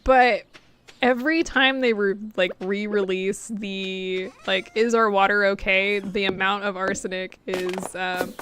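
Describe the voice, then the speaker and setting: A young adult woman streaming a game, chatting casually with lots of fillers, her voice getting hoarse after hours of talking. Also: mid-range voice, a bit bright. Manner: chatty, rambling, many fillers and laughs. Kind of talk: casual chat while gaming.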